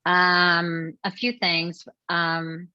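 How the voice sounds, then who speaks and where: A woman's voice: fairly low pitch, little pitch movement, very slow, dry recording. A woman success coach casually answering personal questions as a guest in a chat. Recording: slightly dull, dry call audio.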